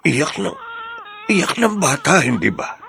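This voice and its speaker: A man acting a warm, decisive farmer husband in a Filipino radio drama, with a slightly husky, creaky voice. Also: a little high, lively intonation, measured pace, background noise. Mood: puzzled, alert.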